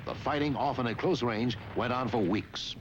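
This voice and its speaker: A man's voice: mid-range voice, normal speed, lo-fi sound, background noise. A man reading scripted historical narration for a war documentary.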